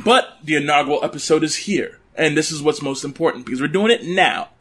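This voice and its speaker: A man hosting a casual podcast from his apartment, loud and joking at his own expense into a desktop mic. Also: a little high, quick pace. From Brooklyn, New York. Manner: loud, jokey, self-mocking. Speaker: podcast host. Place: home apartment. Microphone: USB desktop condenser mic.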